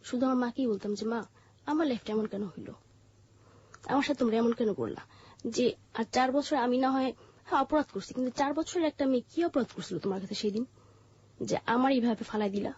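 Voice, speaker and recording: Bangladeshi female interview guest, high-pitched husky voice, halting personal narration, dull lo-fi sound. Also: darkish tone, a little hiss or hum. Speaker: interview guest telling her life story.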